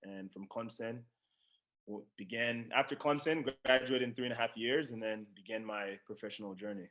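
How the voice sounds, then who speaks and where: A man's voice: mid-range voice, moderate pace, a bit bright, low-quality recording. Young man giving casual interview answers. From Democratic Republic of Congo. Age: young adult. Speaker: professional soccer player being interviewed.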